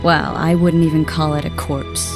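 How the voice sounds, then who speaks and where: An actress playing a composed, learned sorceress in a video game, speaking in a measured, articulate, gently argumentative way. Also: female voice, low voice, level tone, over music. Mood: composed, reasoning. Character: composed, learned adult sorceress, loyal and reasoning. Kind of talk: video game cutscene acting.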